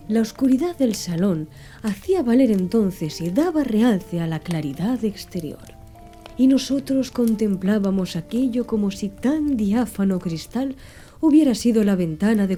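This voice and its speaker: A woman narrating an audiobook in a soft, slow, hushed ASMR style. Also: medium pitch. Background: faint background music.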